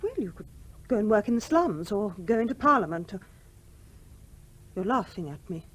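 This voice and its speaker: A proper, youngish English widow, modest and polite but quick to reprove. Her husky voice is lively in pitch, in the manner of a 1970s radio drama. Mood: earnest, prim. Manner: polite, reserved, primly reproving. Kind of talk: 1970s radio play acting.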